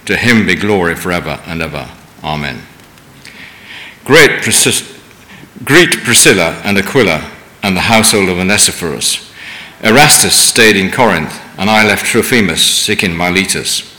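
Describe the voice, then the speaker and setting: A man reading scripture aloud in church, measured with occasional stumbles. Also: low-pitched, lively intonation, sharp tone. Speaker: scripture reader. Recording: distant mic in reverberant hall.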